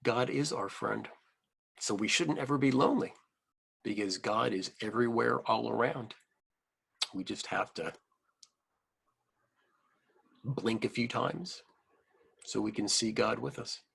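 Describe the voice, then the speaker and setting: Man, children's story reader, slow pace, creaky husky voice, simple explanatory asides. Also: mid-range voice. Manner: expressive, halting asides, speaking simply as to young children. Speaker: rabbi reading a picture book aloud.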